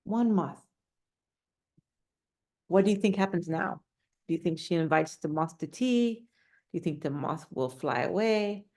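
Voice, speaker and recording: A woman's voice: on the low side, unhurried, pauses often, a little mellow. A woman reading a children's storybook aloud, adding casual, simple explanations and questions for young listeners. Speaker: storybook reader.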